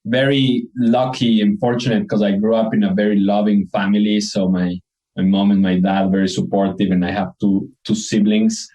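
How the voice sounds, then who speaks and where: A man's voice: fairly low pitch, flat delivery, normal speed, mellow, poor audio. A man from Ecuador with an Ecuadorian accent, a company co-founder answering interview questions in an earnest, reflective way. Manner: earnest, reflective, frequent restarts.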